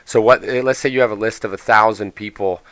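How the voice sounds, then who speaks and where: A man presenting a casual software walkthrough in a plain-spoken, explanatory, off-the-cuff way. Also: lowish voice, fairly even intonation, brisk, dry recording.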